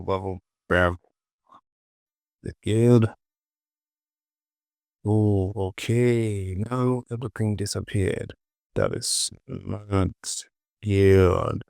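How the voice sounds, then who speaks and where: A man giving an informal software tutorial as a voice-over, often repeating words and short phrases. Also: low voice, creaky, no room sound, clean studio sound. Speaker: 3D modelling tutor. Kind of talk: casual screen-recorded software tutorial.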